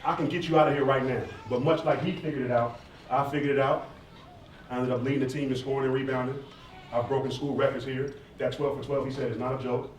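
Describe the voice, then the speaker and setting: A man's voice: medium pitch, level tone, quick pace, far from the mic. A man narrating his own story casually to a live audience from a stage, heard over a PA. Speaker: narrator of an autobiographical stage play. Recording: echoey stage PA recorded from afar, faint noise.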